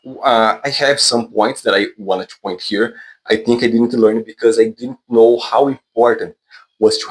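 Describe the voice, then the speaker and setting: A man's voice: on the low side, low-quality recording. A man from Brazil, a native Portuguese speaker with a Brazilian accent, talking casually and unscripted to viewers in hesitant, self-correcting English. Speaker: vlogger, English learner.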